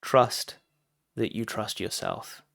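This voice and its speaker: A man giving a guided meditation talk as a teacher, phrasing deliberately with frequent pauses and addressing listeners directly. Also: on the low side, fairly even intonation, gravelly, fairly bright tone, breathy edge, dry recording, studio quality.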